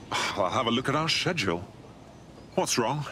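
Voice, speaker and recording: A man's voice: mid-range voice, lively intonation, a little slow, bright, forceful tone, slight background noise. A man voicing a cheeky, reassuring British resistance operative in a video game. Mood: wry. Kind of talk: video game cutscene acting.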